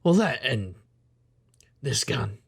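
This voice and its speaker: An actor playing a sardonic, world-weary private investigator, narrating and talking in a dry deadpan noir style. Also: male voice, mid-range voice, slow pace, vocal fry, husky, full character voice. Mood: bitter, sardonic. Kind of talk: noir audio drama, first-person narration and dialogue.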